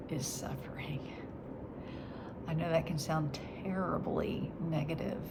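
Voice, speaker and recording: A woman talking in a reflective faith vlog, soft and halting, her voice nasal after crying. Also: deep voice. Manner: soft and halting, slipping into whispers. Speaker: vlogger. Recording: steady background noise.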